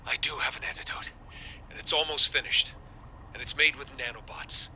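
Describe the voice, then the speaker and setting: An actor playing a cold, smug, fanatical scientist villain in a video game, speaking in a deliberate, taunting, ominous way. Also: male voice, mid-range voice, pushed and bright, a little hiss or hum, rough recording. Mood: smug menace.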